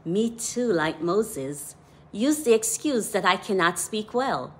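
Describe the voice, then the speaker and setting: A woman with a Jamaican accent, a devotional author giving a scripted devotional talk in a measured, deliberate way. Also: medium pitch, animated.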